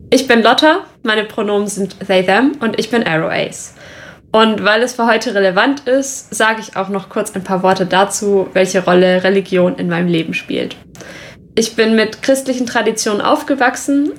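Young woman host with a gravelly edge. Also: mid-range voice. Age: young adult. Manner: measured, orderly introductions. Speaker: podcast host. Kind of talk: podcast hosting, partly read from script.

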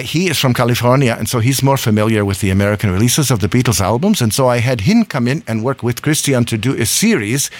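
Rambling male radio host. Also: medium pitch. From Scotland. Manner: rambling, chuckling, self-correcting. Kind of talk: casual live radio chat. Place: hot radio studio.

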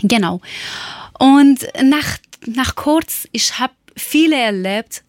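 A woman from Iran with a Persian accent, speaking German as a second language and telling a personal account haltingly, often searching for words. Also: sits high, animated, no echo. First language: not German. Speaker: former teacher telling her life story.

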